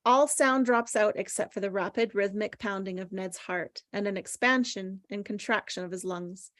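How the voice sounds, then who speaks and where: A woman's voice: mid-range voice, moderate pace, fairly bright tone, no echo, clean studio sound. A woman taking part in a table read, chatting casually and reading scripted action descriptions. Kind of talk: casual chat and scripted narration.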